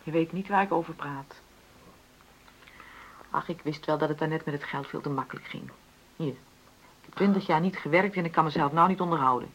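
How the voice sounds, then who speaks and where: A woman's voice: sits low, fairly quick, pauses often, a little hiss or hum, poor audio. An actress playing a frustrated middle-aged wife in a radio play, insistently arguing for equality in her marriage. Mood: indignant, bitter. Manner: argumentative, insistent, reasoning. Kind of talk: naturalistic Dutch radio play dialogue.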